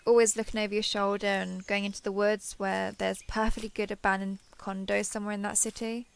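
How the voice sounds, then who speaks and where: A woman's voice: medium pitch, little pitch movement, fairly quick, creaky, smooth, natural voice. A woman playing a sheltered young female alien, naive and obsessively devoted, talking earnestly and intimately to the listener in an audio roleplay. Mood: naive, defensive. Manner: earnest, wheedling, over-explaining.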